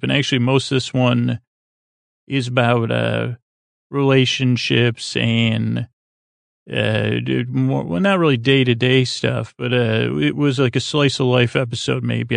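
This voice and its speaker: A man with a creaky voice hosting a bedtime podcast, talking in a calm, gentle, meandering way with halting self-interruptions. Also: mid-range voice, dry recording. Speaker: podcast host. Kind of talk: rambling, soothing bedtime podcast monologue.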